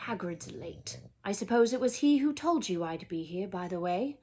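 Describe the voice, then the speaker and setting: A woman voicing a calm, grave, wise old male wizard from a storybook in a measured, hushed and firm way. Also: medium pitch, close to an everyday voice.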